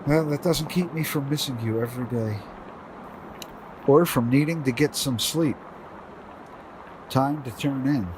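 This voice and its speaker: An actor playing a lonely, grieving widower who muses wearily to himself in an audio drama. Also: male voice, mid-range voice, unhurried, long pauses, background noise, close to an everyday voice. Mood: wistful, weary. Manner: talks to himself, reflective.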